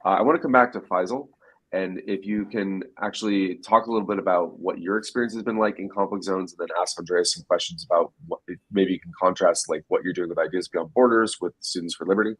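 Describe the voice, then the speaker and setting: Deep, husky-voiced male host. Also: steady tone, fast, fairly bright tone, low-quality recording. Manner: conversational, asks pointed follow-up questions. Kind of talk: live-stream interview and appeal for donations.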